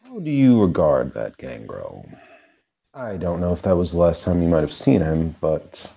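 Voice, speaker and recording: A man voicing a courteous, formal vampire host who speaks in a measured way. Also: deep voice, very animated, vocal fry, mellow, slightly rough, exaggerated voice. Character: polite scheming male vampire host.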